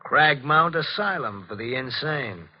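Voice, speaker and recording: An actor playing a shady, menacing blackmailer in 1940s radio style, with a folksy, insinuating way of talking. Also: male voice, mid-range voice, lively intonation, a little slow. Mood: sly, casual. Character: shady, menacing male blackmailer, ex-asylum worker, sly and casual. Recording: muffled, noisy 1940s radio recording.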